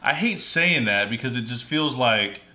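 A man's voice: mid-range voice, expressive, bright, forceful tone. A man co-hosting a casual podcast conversation, talking thoughtfully with frequent fillers and restarts. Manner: thoughtful, halting, many fillers. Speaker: podcast co-host.

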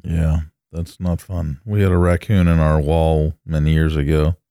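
A male podcast host with an extremely deep, dark voice, made heavier by allergies. He talks in a relaxed, easygoing way while telling a story. The sound is dry and close. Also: normal speed, clear, resonant tone, no echo. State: allergies, voice deeper than usual. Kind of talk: casual podcast chat.

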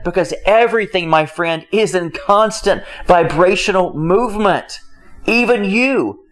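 High-voiced man preaching with emphatic repetition. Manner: emphatic, repeats phrases, asks rhetorical questions; acts out quoted listeners' excuses in other voices. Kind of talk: motivational sermon.

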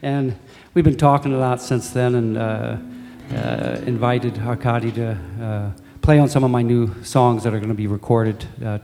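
A man's voice: mid-range voice, steady tone, moderate pace, few pauses, long reverb tail. An American man raised in Missouri, a musician guest with a slightly Austrian-tinged accent, chatting casually with fillers and laughs. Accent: American with Austrian and German touches. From United States (Missouri). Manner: laughs often, rambling with fillers. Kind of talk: casual radio interview.

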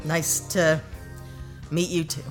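A woman's voice: low voice, level tone, slow pace, over music. A woman playing an earnest, indignant, plain-spoken investigator heroine in a sci-fi audio drama. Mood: awkward, hesitant. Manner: earnest, blunt, outspoken. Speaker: talk-show guest character.

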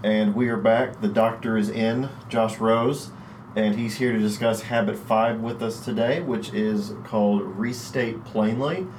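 A man's voice: lowish voice, steady tone, a little slow, a little mellow, slight background noise. A man hosting a church podcast, reading a friendly, upbeat intro and asking his guest questions. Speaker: podcast host.

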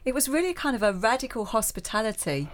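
A woman's voice: on the high side, sing-song, quick pace. Encouraging British woman interviewing a guest. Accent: British regional. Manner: encouraging, prompting with short affirmations. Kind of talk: warm interview questioning. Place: radio studio.

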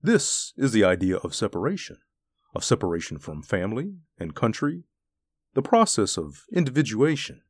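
A man voicing a huge, gentle alien beast in a story, speaking in an articulate, formal, pensive way. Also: fairly low pitch, sing-song, normal speed, slightly rough, no room sound, clean studio sound. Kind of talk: storybook character acting.

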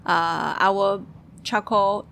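A woman from Taiwan co-hosting a casual chat, talking in halting bursts with many restarts and fillers. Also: mid-range voice, fairly even intonation, creaky, fairly bright tone, slight background noise. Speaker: co-host.

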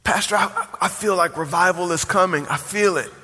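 A man's voice: sits high, moderate pace, pushed and bright, breathy edge. A man in his forties, a pastor preaching a sermon with rising emphasis and call-and-response. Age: 40s. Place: church sanctuary. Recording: long hall reverb.

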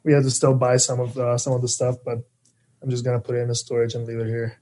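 A young man from the Czech Republic, a Czech native speaker, answering interview questions in English in a relaxed, halting way with many fillers. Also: medium pitch. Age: young adult. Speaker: guest, pro hockey player. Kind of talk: casual interview chat.

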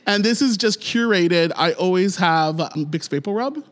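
A man's voice: high voice, moderate pace. A middle-aged man from Ontario, co-hosting a podcast in a playful, upbeat casual chat. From Ontario, Canada. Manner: upbeat, playful, laughs often. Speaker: podcast co-host.

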